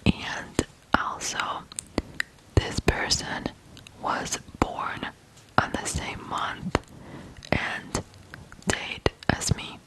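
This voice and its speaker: An ASMR host from Ukraine, telling stories in a hushed whisper with frequent pauses between short phrases. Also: low-quality recording. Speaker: ASMR video host and storyteller. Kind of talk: whispered ASMR true crime storytelling.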